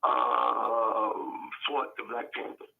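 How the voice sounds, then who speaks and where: Gravelly man on a phone line. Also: medium pitch, fairly even intonation, drawn-out words. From New York. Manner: rambling, many restarts and fillers. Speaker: actor guest. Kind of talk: casual interview chat. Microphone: phone call.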